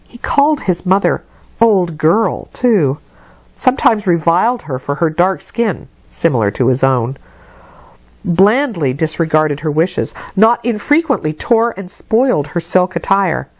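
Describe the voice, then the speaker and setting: A woman reading a classic novel aloud as an audiobook narrator, in a measured, expressive literary delivery. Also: on the low side, husky, no echo. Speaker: audiobook reader.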